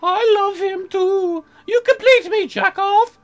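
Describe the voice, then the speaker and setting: Lewd talking horse, woman performer: high, drawn-out, needy and brazen, comic game delivery. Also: faint music behind. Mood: ecstatic, lewd. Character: lewd, needy talking horse who loves being ridden. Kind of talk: comic visual novel voice acting.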